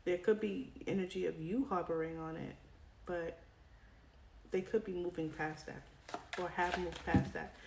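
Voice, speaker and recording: A woman with a fairly low voice talks her viewers through a tarot spread, checking in with "right?" as she goes. She is coming down with a cold, and her voice sounds worn. The room is echoey, with cards shuffling and clicking clearly in the background. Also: fairly even intonation, moderate pace, pauses often, darkish tone, a little hiss or hum. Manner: chatty, addresses viewer directly with frequent "right?". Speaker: tarot reader. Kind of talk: casual tarot reading to camera.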